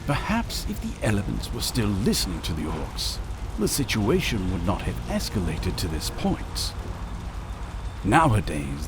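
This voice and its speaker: A man reading scripted narration for a dramatic audio story in a measured, theatrical manner. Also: lowish voice, noisy background.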